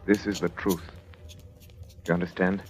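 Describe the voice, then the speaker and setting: An actor playing a troubled, formal middle-aged doctor in 1940s radio drama, speaking with deliberate emphasis. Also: male voice, low-pitched, level tone. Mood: grave, distressed. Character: troubled middle-aged husband, a doctor and psychiatrist, gravely insistent. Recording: old radio broadcast with loud music behind.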